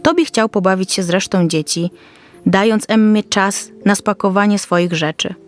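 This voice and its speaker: A woman's voice: medium pitch, normal speed, faint music behind. A woman storyteller reading a scripted Christmas fairy tale for children.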